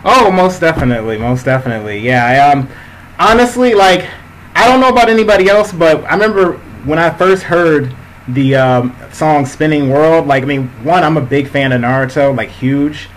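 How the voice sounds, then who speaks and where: A man hosting a casual interview who rambles excitedly with many fillers. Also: on the high side, moderate pace, slight background noise, low-quality recording. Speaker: interview host.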